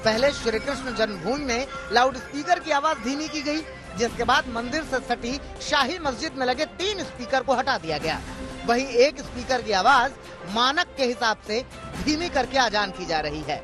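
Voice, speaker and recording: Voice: mid-range voice, expressive, moderate pace, over music. A news narrator reading a scripted, dramatic television report.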